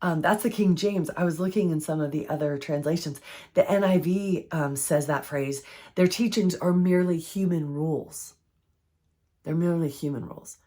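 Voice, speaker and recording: A woman with a froggy, hoarse voice from recent illness, giving an earnest, conversational faith-based health teaching. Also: deep voice, normal speed, dark tone. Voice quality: froggy, strained voice.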